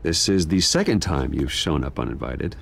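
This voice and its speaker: Guarded, wealthy American businessman; low, very varied delivery, dry wit, game drama with noisy backdrop. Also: expressive, moderate pace, gravelly, exaggerated voice. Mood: cool, dry. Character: wealthy, guarded businessman defending his family's name. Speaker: video game voice actor.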